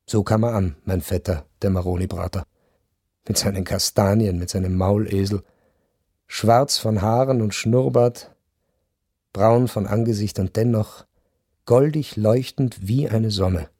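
An actor playing a reflective former officer who narrates his memoir in a measured, literary radio drama style. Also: fairly low pitch, mellow, breathy edge, no echo, clean studio sound. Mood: wistful.

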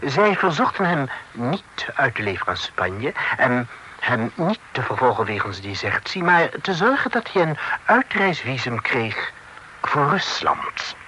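A worldly gentleman envoy in a period radio play. His speech is formal and ornate, in a mid-pitched, sharply bright voice. The old recording carries a faint layer of noise. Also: sing-song, unhurried, low-quality recording. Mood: earnest narrating. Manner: formal, flowery speech sprinkled with French. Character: talkative, worldly gentleman envoy reporting grave news. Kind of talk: period radio drama acting.

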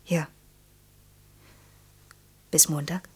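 A young woman with a lowish, extremely breathy voice that sinks toward a whisper. She sounds shy, lovelorn and quietly insistent, answering in hushed, brief phrases in radio drama style. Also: little pitch movement, extremely slow, exaggerated voice. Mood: subdued, wistful. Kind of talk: German radio play acting.

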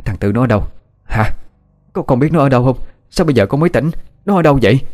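A man's voice: mid-range voice, animated, quick pace, faint music behind, pitched above the performer's own voice, close to an everyday voice. A man voicing a desperate, accusing middle-aged mother with a Southern Vietnamese accent in a dramatised audio story. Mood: frantic. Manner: forceful, insistent, repeated demands.

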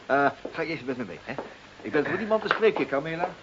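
A man's voice: on the high side, very animated, fast talker. An actor playing a jovial, persuasive businessman in a radio drama, chummy in manner. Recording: dull, faintly hissy old radio recording.

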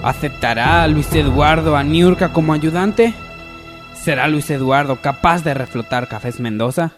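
A man's voice: fairly high pitch, a little slow, music in the background, natural voice. A man playing a melodramatic radio soap narrator, posing suspenseful cliffhanger questions. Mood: suspenseful, dramatic. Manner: dramatic, suspenseful.

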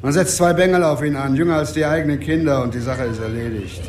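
A man's voice: on the high side, darkish tone, close to an everyday voice. Man playing resigned old police boss, 50s, weary warnings, radio play, roomy sound, faint noise. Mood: bitter, cautioning. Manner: slow, weary, cautioning. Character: resigned, wary former police commissioner, 58. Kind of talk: radio drama acting. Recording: echoey room, faint background noise.

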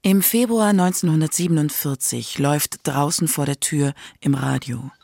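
Gravelly female documentary narrator. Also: sits low, moderate pace, mellow.

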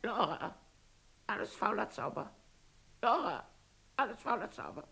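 A woman voicing a shrill, mocking figure in a vintage German radio play, creaky and rough, chanting like a refrain. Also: big pitch swings, unhurried, pushed and bright, full character voice. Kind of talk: vintage German crime radio drama acting.

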